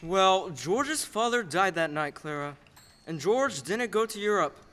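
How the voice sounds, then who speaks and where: A man acting a warm-hearted storyteller boss in a radio play, telling a life story emphatically. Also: high-pitched, lively intonation, unhurried. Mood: solemn, explanatory. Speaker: student actor. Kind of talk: old-fashioned radio play narration.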